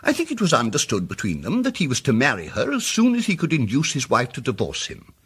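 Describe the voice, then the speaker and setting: An actor playing a mild, muddled amateur detective in a radio drama, speaking with hesitant, fussy precision and old-fashioned politeness. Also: male voice, mid-range voice, huge pitch swings, fast, slightly rough, heavily acted. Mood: delicate, grave. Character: mild, muddled, apologetic male amateur detective explaining his deductions.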